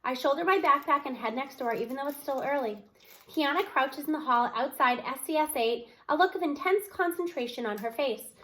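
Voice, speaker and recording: A high-pitched woman's voice narrates a children's novel. She reads aloud expressively, telling the story in the first person as the young character would. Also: fairly quick, a bit bright, poor audio.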